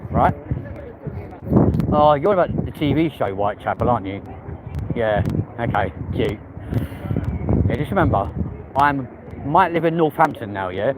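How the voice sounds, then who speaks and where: A man's voice: mid-range voice, expressive, unhurried, pauses often. A young man with a London accent, chatting casually with viewers on a live stream and using London slang. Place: outdoors on a busy city street. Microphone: handheld phone. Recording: phone audio with loud street noise.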